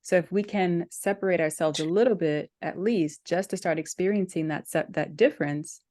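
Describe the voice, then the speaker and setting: A woman's voice: on the low side, moderate pace, darkish tone. A woman hosting a conversational interview podcast about healing practice. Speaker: podcast host, meditation practitioner.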